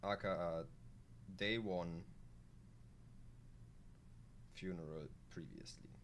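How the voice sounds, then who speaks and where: Very deep-voiced German man streaming games. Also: steady tone, unhurried, a bit bright, slight background noise. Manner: casual, sweary, rambling asides. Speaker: video game streamer. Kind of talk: live gameplay commentary, reading game text aloud.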